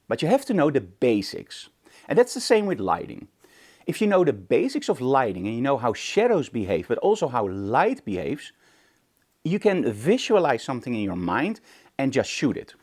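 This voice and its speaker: A man's voice: mid-range voice, a little mellow. A man hosting an informal photography tutorial, speaking conversationally and emphatically with rhetorical questions. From Netherlands. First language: Dutch. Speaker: photography instructor, show host. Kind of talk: informal tutorial talk to camera.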